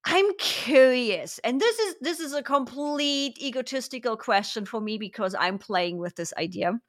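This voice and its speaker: A woman's voice: on the high side, lively intonation. A woman with a German accent hosting a podcast interview, chatting casually and asking curious questions. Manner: chatty, curious, quick asides. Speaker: podcast host.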